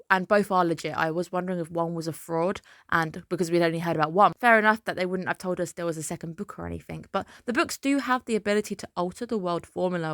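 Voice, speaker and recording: A woman's voice: lowish voice, fairly bright tone, no room sound, studio quality. Slightly ill woman reviewing anime. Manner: opinionated, chatty, emphatic dislikes. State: slightly ill, under the weather. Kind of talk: casual anime episode breakdown.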